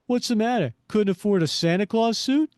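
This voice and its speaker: Man putting on a rowdy high-pitched barroom thug. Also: normal speed, a little mellow, dry recording, studio quality, natural voice. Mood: mocking. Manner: mocking, boisterous. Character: rowdy, jeering male dive-bar lowlifes.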